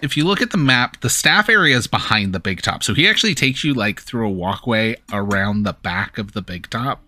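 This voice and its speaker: A man running a tabletop game, describing scenes in a loose, rambling flow peppered with fillers. His voice is bright and lively, jumping around in pitch. The sound is close and dry. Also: brisk, no echo. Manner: casual, rambling narration full of "like". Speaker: game master.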